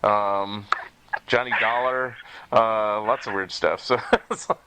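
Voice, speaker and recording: A man's voice: on the low side, fairly even intonation, slow, sharp tone, slight background noise, low-quality recording. A man hosting a podcast interview in casual, conversational talk, given to chuckling as he speaks.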